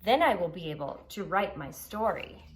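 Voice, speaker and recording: A woman's voice: lowish voice, animated, normal speed, a little hiss or hum, lightly acted. A woman voicing a vain, grumpy male unicorn in a children's storybook, self-important and dismissive. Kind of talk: children's storybook read-aloud.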